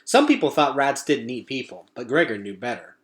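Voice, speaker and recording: A man's voice: mid-range voice, sing-song, fairly quick. A man narrating a children's novel expressively as a read-aloud storyteller.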